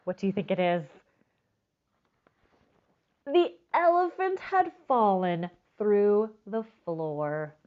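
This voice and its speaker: Expressive, animated woman reading a storybook. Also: mid-range voice, slow, pauses often, phone-quality sound. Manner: expressive, stretches words for emphasis. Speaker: storytime reader. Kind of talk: children's storybook read-aloud with asides to listeners.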